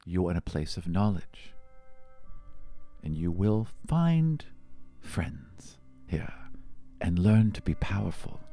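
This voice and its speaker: A man voicing a solemn demigod mentor who speaks slowly and gravely, with dramatic pauses. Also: sits low, expressive, warm tone, soft background music, exaggerated voice. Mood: gentle, grave.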